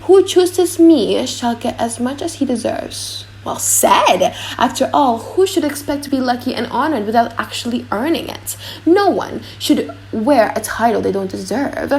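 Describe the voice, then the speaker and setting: A woman's voice: a little high, expressive, measured pace, hardly any pauses, a little mellow, rough recording. A woman voicing a vain, pompous prince in a dramatic audiobook, musing aloud theatrically. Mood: self-assured. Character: vain, pompous foreign prince weighing his choice aloud.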